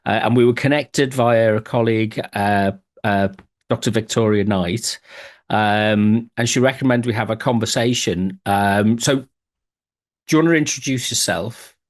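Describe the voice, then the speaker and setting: A man hosting a podcast, chatting casually and warmly with a guest over a remote call from home. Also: on the low side, measured pace. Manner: friendly, chatty, light filler. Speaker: podcast host. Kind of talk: casual interview chat.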